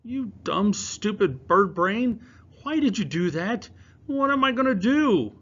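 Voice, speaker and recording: A man's voice: very high voice, big pitch swings, normal speed, a bit bright, voice pushed up, heavily acted. A man doing a panicky young boy. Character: jumpy, agitated young boy. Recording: dull lo-fi recording.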